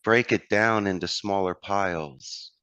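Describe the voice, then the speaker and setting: A man's voice: sits low, a little slow, fairly bright tone, clean tone, no echo. A man with a Philadelphia accent, a guest on an interview who talks in an expansive, motivational way. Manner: expansive, motivational, addresses host by name. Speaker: call center owner, guest. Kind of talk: conversational interview.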